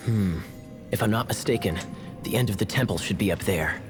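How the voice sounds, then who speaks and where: A man playing a suave, teasing, confident knight in an anime game, with a smooth, theatrical, wryly amused delivery. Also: lowish voice, brisk, lightly acted. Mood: confident, sly. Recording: game music behind.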